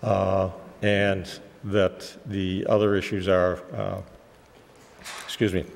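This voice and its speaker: A man with a cold, losing his voice, presenting a technical site plan to a planning board in a measured way with many "uh" fillers. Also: sits low, flat delivery, gravelly, in a large hall. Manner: measured and explanatory, with frequent "uh" fillers. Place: town meeting room.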